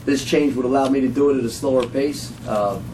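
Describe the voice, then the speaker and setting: A man's voice: mid-range voice, a little fast. A man in his forties, a police official, speaking plainly and earnestly to a public meeting. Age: 40s. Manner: earnest, plainspoken, addresses the room. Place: council chamber. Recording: echoey room, distant mic, noisy.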